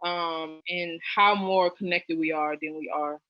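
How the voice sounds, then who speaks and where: A young woman from New Orleans chatting as an interview guest, with lots of fillers and lively jumps in pitch. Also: measured pace, no room sound. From New Orleans, US South. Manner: chatty, many fillers, animated rises in pitch. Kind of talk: casual interview chat. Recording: dull lo-fi dry sound.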